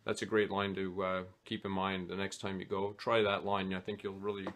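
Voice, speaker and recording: Man with a low voice, halting gear talk. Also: level tone, a bit bright, slight background noise. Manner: hesitant, many "uh" fillers. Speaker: fly fishing vlogger. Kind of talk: casual gear talk to camera. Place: small home office, indoors.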